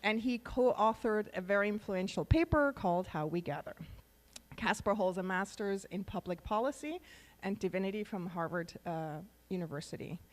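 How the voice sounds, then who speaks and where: A woman's voice: medium pitch, moderate pace. A woman hosting a live event, introducing a speaker on stage in an enthusiastic, chatty way. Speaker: event host. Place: large venue on stage.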